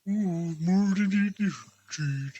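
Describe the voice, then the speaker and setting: A woman voicing a jolly giant Christmas spirit for a storybook, hearty and grand, declaiming slowly. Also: lowish voice, dark tone, slightly rough, no room sound, muffled recording. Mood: hearty, booming.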